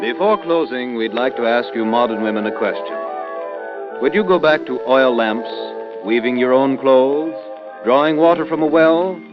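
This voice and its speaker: Lively, emphatic vintage radio announcer man. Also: mid-range voice. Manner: emphatic, polished salesmanlike delivery. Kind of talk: scripted 1930s radio announcing. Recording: dull old radio recording, music behind.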